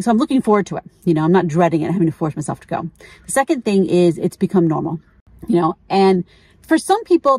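Middle-aged female vlogger, gravelly fry in a lowish voice, candid and conversational. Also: a little mellow. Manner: informal, candid, thinking aloud. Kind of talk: casual chat.

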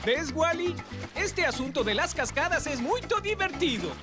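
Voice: high voice, lively intonation, normal speed. An actor voicing a small, earnest, cautioning bird character in a cartoon dub. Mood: reassuring. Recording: loud cartoon music behind.

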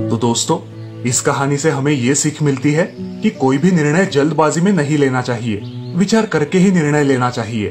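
A man reading scripted narration for a children's moral story. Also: medium pitch.

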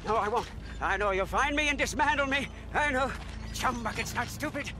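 High-pitched, manic zealot mechanic. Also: male voice, moderate pace, noisy background. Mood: anxious, eager. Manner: feverish preacher-like zeal, wheedling, repeats himself. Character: manic, devout hunchbacked mechanic, fawning male sidekick. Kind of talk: video game character acting.

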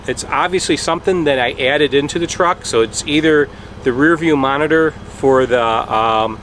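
A man's voice: medium pitch, a bit bright, a little hiss or hum. A man in his forties talking casually to camera outdoors at a campground, plain and matter-of-fact with frequent ums. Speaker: RV travel vlogger. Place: outdoors at a wooded campground beside a pickup truck. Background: idling truck engine.

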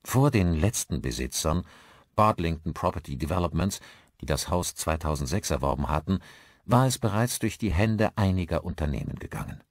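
A man narrating a thriller audiobook in a measured, articulate storytelling manner. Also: sits low, animated, no echo, clean studio sound.

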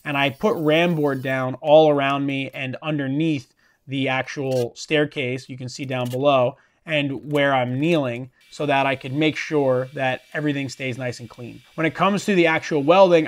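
A man in his thirties, a welder and shop owner, talking through his work in a relaxed, practical, matter-of-fact way. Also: medium pitch. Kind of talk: explanatory how-to narration. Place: metal workshop, indoors.

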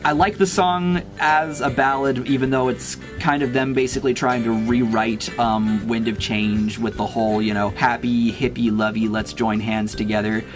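A man's voice: medium pitch, steady tone, moderate pace, few pauses. A man in his twenties hosting a casual unboxing vlog, chatting and giving his opinions on music as he goes. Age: 20s. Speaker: YouTube host.

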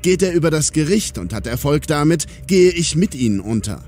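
Guy acting calculating police boss in a radio play, smooth-talking diplomat, bit of background noise. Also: on the high side, very animated, fairly quick, darkish tone. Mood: angry, tense. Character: calculating male police commander, diplomatic, self-protecting. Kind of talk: German audio drama acting.

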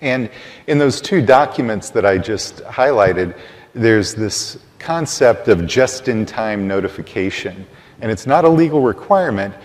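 A man on a conference panel explaining legal guidance in a measured, explanatory way. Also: fairly low pitch. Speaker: panelist, privacy lawyer. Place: large conference hall stage. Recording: long hall reverb.

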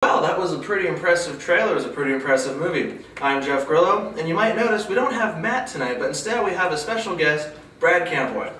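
A young man in his twenties hosts a relaxed movie review, talking loosely and letting his sentences drop off at the ends. He's picked up from a distance in a small room that rings back, with a faint hiss underneath. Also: fast. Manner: loose, chatty, trails off low. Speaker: host of a movie review show. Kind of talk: casual review chat. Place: small indoor studio with a poster backdrop. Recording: echoey room, mic far off, faint hiss.

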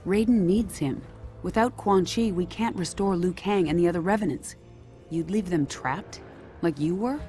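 A woman's voice: on the low side, normal speed, dark tone, soft background music, natural voice. Woman as a stern military general. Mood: stern, guarded. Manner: clipped, commanding, firm. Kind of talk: dramatic video game cutscene acting.

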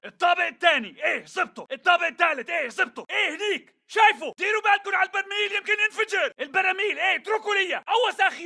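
An actor with a Syrian Levantine Arabic accent voicing an aggressive militant in a video game, barking short, urgent battle callouts. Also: male voice, very high-pitched, vocal fry, pushed and bright, heavily acted. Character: aggressive male militant fighter calling out enemy positions. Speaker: game enemy soldier.

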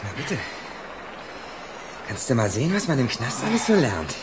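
An actor playing a vengeful, mocking young ex-convict who bullies and threatens in a German radio crime drama. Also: male voice, medium pitch, very animated, normal speed, reverberant, background noise, natural voice. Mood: gloating menace. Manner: sneering, sarcastic, bullying.

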